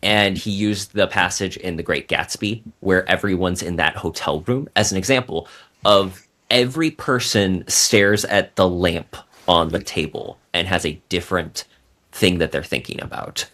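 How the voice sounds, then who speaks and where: Deep voiced guy, bookish writer on a podcast, explains stuff with examples, talks conversationally. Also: normal speed, sharp tone. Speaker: guest novelist on a book podcast. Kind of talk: conversational interview talk about writing.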